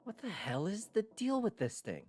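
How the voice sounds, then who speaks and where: A woman's voice: mid-range voice, big pitch swings, normal speed, a little mellow, voice pushed up. A woman voicing a confused, frustrated young man trapped in a locked room, read aloud from a visual novel, muttering and exclaiming in exasperation. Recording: clearly noisy background.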